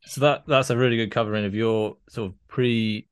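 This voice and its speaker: Low-voiced male interviewer, close dry sound. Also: steady tone, moderate pace, clean tone, dry recording. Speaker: podcast host, interviewer. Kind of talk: casual interview questioning.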